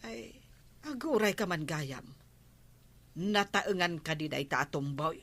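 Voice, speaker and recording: A woman playing a cautious, advising village woman in an Ilocano comedy radio drama, measured in manner. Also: on the low side, lively intonation, a bit bright, heavily acted.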